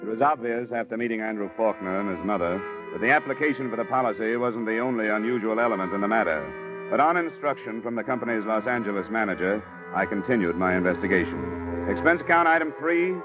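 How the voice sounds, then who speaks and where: An actor in a vintage radio drama playing a cool, probing insurance investigator, clipped, dry and businesslike. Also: male voice, lowish voice, brisk, over music, close to an everyday voice. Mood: matter-of-fact. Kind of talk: 1940s-50s radio drama acting with first-person narration. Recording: muffled vintage radio broadcast recording.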